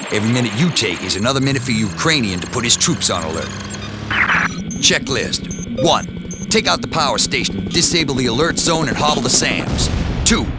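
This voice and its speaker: A man's voice: medium pitch, close to an everyday voice. A man playing a stern military commander. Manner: clipped, commanding briefing delivery. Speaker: mission commander giving briefings. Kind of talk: game cutscene voice acting. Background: loud music.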